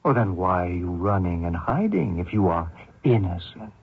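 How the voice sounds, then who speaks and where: An actor playing a vengeful Canadian man hunting a fugitive, deliberate and taunting in an old radio drama. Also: deep voice, expressive, breathy edge, heavily acted. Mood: accusing. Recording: muffled thin old radio recording.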